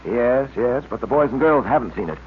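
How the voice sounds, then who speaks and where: An actor playing a calm, heroic American police detective in an earnest, steady 1940s radio serial style. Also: male voice, medium pitch, fairly quick, close to an everyday voice. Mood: earnest, enthusiastic. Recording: scratchy old-time radio recording, narrow band, hiss.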